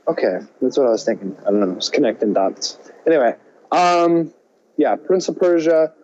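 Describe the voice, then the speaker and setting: A man's voice: mid-range voice, moderate pace, warm tone, muffled recording, slight background noise. A man co-hosting a podcast in casual chat, often hesitant and thinking aloud. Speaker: podcast co-host.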